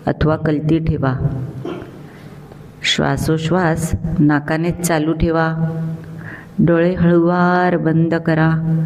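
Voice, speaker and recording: A woman guiding a yoga nidra meditation as a teacher, giving measured step-by-step instructions. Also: low-pitched, darkish tone. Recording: long hall-like reverb.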